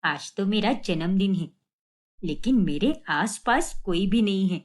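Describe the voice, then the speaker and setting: A middle-aged cartoon mother, played by a woman, plaintive and full of self-pity. Her delivery is dramatic and swings widely in pitch, with resentment and regret close to the surface. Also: lively intonation, a little slow, lightly acted. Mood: remorseful, sad.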